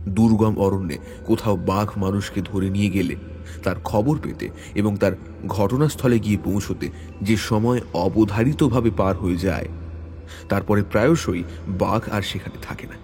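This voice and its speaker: A man reading a hunting adventure as a dramatic audio story, in a measured, suspenseful way. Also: lowish voice, darkish tone. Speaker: story narrator. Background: background music.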